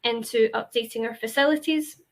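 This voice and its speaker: A woman's voice: fairly high pitch, normal speed. A woman with a Glaswegian accent giving an enthusiastic presentation to prospective students, with frequent fillers. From Scotland. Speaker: university representative.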